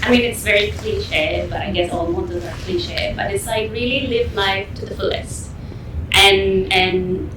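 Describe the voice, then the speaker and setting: A woman's voice: mid-range voice, a little slow. Upbeat woman from Mexico, echoey room. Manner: upbeat, emphatic, many likes. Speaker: podcast guest, consultant. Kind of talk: casual chat. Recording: echoey room, far from the mic, noisy.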